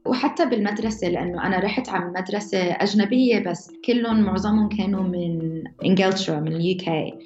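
A woman's voice: medium pitch, fast, a little mellow. A young woman with a mixed Levantine Arabic accent telling her own story casually, often restarting mid-phrase. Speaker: interviewee. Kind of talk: personal storytelling. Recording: roomy, slightly dull, music under.

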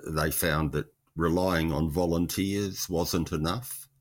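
A man, a historical researcher explaining in interview answers, measured and thoughtful with frequent pauses. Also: sits low, fairly even intonation.

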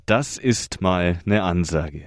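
A male radio presenter reading a scripted narration in a measured, even way. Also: deep voice, slight background noise.

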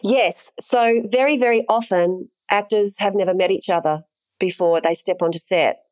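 A woman's voice: mid-range voice, normal speed, darkish tone, dry recording, rough recording. Frank woman explaining her work plainly. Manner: frank, explanatory, laughs easily. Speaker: intimacy coordinator. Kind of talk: casual interview chat.

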